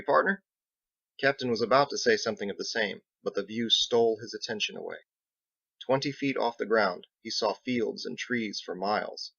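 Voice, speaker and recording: A man narrates a written story in a low, creaky voice with a bright, forceful edge. The recording is very dry and slightly dull. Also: no room sound. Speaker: author narrating his own book. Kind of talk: scripted audiobook narration.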